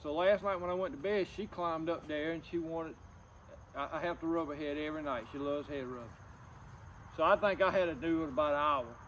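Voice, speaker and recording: A middle-aged man talking personally to camera, subdued and grieving, in a halting, plain-spoken, folksy way. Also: a little high, fairly bright tone, slight background noise, low-quality recording. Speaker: outdoors vlogger.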